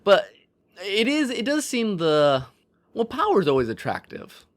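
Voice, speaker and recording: A man co-hosting a podcast, casually discussing films and storytelling as he thinks out loud. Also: sits high, wildly swooping pitch, a little slow. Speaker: podcast co-host.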